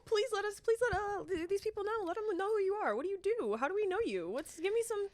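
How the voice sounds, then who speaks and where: A woman's voice: very high-pitched, animated, quick pace, a little mellow. A woman co-hosting a casual podcast, bubbly and giggly as she introduces her guest. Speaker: podcast co-host. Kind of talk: casual chat.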